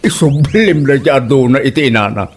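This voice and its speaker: A man's voice: mid-range voice, expressive, fairly quick, over music, lightly acted. A man playing an exasperated, fault-finding old father-in-law in a comedy radio drama, grumbling theatrically with an Ilocano accent. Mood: indignant.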